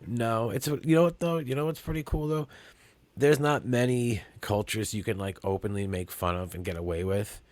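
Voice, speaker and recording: A man's voice: medium pitch, moderate pace, a little mellow, no echo. A man co-hosting a comedy podcast, rambling through stories with many fillers and much swearing. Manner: rambling, filler-heavy, profane. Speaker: podcast co-host. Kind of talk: crude comedic banter.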